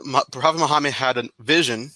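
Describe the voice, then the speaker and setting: A man's voice: mid-range voice, fast talker, sharp tone, no echo, poor audio. A man from Florida on a video call, asking questions in a hesitant, casual way with many ums and restarts. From Florida, United States. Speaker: caller with questions. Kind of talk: casual chat.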